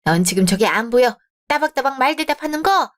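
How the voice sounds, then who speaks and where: Woman as overbearing middle-aged mother, nagging, indignant, high-ish voice, radio drama. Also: expressive, a little fast. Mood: scornful.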